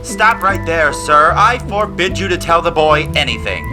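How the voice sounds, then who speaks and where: A man voicing a stuffy, forbidding uncle in an audio drama, yelling orders in an imperious panic. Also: fairly high pitch, lively intonation, normal speed, music in the background, pitched above the performer's own voice, close to an everyday voice. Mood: panicked, commanding.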